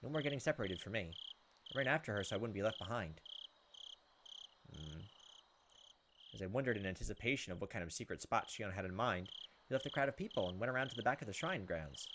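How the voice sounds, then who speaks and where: A man's voice: fairly low pitch, expressive, quick pace, long pauses, fairly bright tone, a little hiss or hum. A man reading a visual novel aloud as a let's play host, with casual, crude joking asides.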